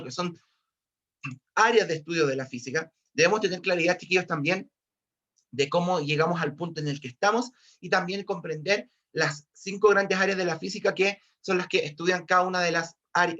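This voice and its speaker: A Chilean male physics teacher, a little hoarse from a cold, teaching online in a fairly high voice. He restarts sentences and keeps calling to his students, through dull, lo-fi video-call audio. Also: sharp tone. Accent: Chilean Spanish. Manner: repetitive, restarts often, keeps addressing students. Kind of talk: online class lecture.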